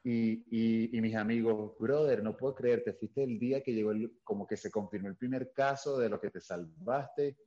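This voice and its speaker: A Venezuelan man with a somewhat low voice, chatting as a guest on an interview. He tells stories loosely, full of restarts and fillers. The recording sounds dull and lo-fi. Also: moderate pace, few pauses, mellow. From Venezuela, Caracas. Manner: storytelling, many fillers and restarts. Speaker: guest actor. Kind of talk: casual interview chat.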